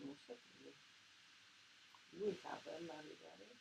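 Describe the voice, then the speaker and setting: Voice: mid-range voice, normal speed, vocal fry, a little mellow, slight background noise, low-quality recording. A person chatting casually about poems, offering brief remarks as a co-reader. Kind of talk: casual chat.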